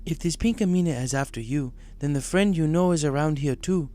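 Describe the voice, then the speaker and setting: A man voicing a wise female zebra mystic who speaks gravely in formal, inverted phrases. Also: fairly high pitch, fairly quick, dark tone, pitched above the performer's own voice, natural voice.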